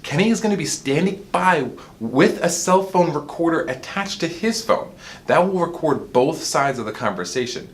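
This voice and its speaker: A man's voice: on the high side, lively intonation, normal speed. A man giving an informal, explanatory product demonstration as the presenter of a review.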